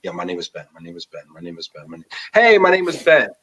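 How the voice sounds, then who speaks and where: A man hosting a casual interview, talking in an animated, emphatic way as he gives advice. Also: sits low, quick pace, breathy edge, far from the mic. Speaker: host. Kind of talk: casual interview and live chat.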